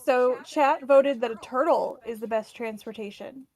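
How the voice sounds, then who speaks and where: A woman takes part in a tabletop game as a player. She talks casually in a voice pitched a little high. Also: normal speed, darkish tone.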